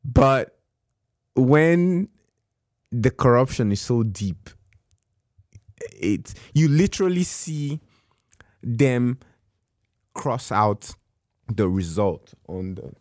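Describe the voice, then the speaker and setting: Male guest, unhurried delivery, dry close sound, casual and emphatic explainer. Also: mid-range voice, big pitch swings, a little mellow, dry recording. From Nigeria. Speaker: guest in a discussion.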